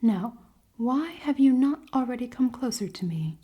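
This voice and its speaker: A woman playing a cold-hearted, commanding elven queen in a fantasy ASMR roleplay, soft and often whispering, with formal, teasing speech. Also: fairly high pitch, animated, moderate pace, breathy edge. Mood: commanding, caring. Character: cold-hearted, dominant elven queen, haughty, secretly caring.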